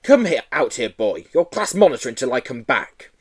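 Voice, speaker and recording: A man acts out a harsh grey-haired woman teacher from a children's story. The voice is raised in pitch and swings widely, snapping and sneering with cruel impatience. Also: sing-song, normal speed, slightly rough. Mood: irritable, barking. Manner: barking, sneering, scolding. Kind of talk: children's audiobook character reading.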